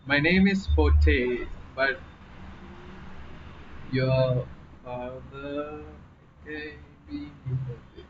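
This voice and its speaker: A man's voice: medium pitch, very slow, long pauses. Young Malayali man, chatty streamer, dull audio. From Kerala, India. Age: young adult. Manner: chatty, laughing, reading chat aloud. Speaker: live-stream host. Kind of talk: casual live-stream chat. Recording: slightly dull audio with faint noise.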